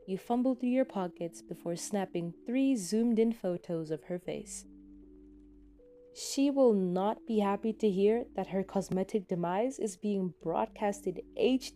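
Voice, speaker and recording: Slow-speaking woman narrating a dramatic story. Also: mid-range voice, mellow. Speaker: fan fiction narrator.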